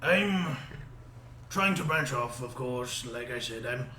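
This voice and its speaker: A young man playing his character in a tabletop game, talking haltingly with many fillers, over an echoey call. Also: medium pitch, expressive, bright, forceful tone. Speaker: player voicing his character. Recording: echoey room with background noise.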